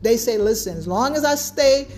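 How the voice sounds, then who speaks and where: A woman's voice: a little high, expressive, normal speed, darkish tone. A woman hosting a solo podcast, talking through her points emphatically, often starting with "now" and checking in with "right?". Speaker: podcast host.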